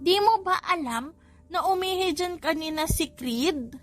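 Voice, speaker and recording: A woman voicing a nosy, excitable little boy with a childlike, exclamatory manner in an audiobook story. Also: extremely high pitch, a little slow, faint music behind, pitched above the performer's own voice. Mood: disgusted. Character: bossy, curious little boys, excitable quadruplet brothers. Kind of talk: audiobook character voice in a family romance story.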